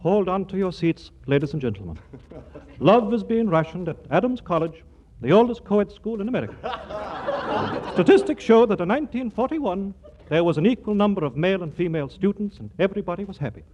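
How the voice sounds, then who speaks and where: An actor playing a punchy newsreel announcer in a 1940s radio comedy. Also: male voice, sits high, expressive, fairly quick. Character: brash newsreel announcer man. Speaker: newscaster. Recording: hissy muffled 1940s radio broadcast.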